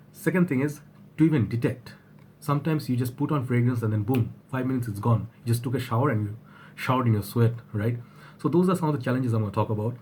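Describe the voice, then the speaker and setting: A man with a flu-husky voice, talking casually to his viewers and often rambling and restarting. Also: medium pitch, darkish tone, slight background noise. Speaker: fragrance reviewer. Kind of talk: casual vlog talk to camera.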